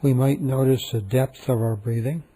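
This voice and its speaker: A man leading a guided meditation, speaking calmly and softly with long, repetitive pauses. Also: medium pitch, breathy edge, low-quality recording.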